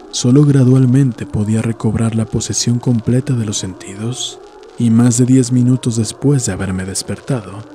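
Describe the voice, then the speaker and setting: A man reading a scripted horror story as an audiobook narrator, in a measured, solemn, literary manner. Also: medium pitch, mellow. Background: background music.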